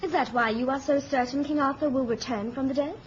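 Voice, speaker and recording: A young noblewoman , acted by a woman, speaks in a high, earnest voice. Her manner is formal and deferential, as in a radio drama. The recording is dull and faintly noisy. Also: fairly even intonation, a little fast, natural voice. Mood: earnest, respectful. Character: earnest, respectful young noblewoman, nearly twenty. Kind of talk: radio play acting.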